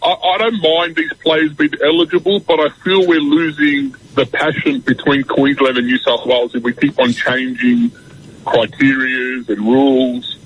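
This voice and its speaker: Aussie bloke phoning into sports radio, average pitch, casual opinions, bit of noise behind him. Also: male voice, flat delivery, normal speed, highs cut off. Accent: Australian. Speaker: radio phone-in caller. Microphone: phone call.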